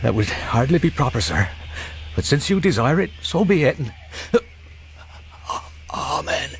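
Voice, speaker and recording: Dying, dignified manservant, mid-pitched. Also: male voice, big pitch swings, a little slow, slight background noise. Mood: weak, dignified. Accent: British. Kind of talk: radio drama acting.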